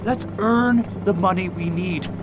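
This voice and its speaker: An actor playing a brainy, eager, good-hearted first mate with a precise, technical way of talking in an audio drama. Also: male voice, high voice, measured pace, heavy background noise. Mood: earnest pleading.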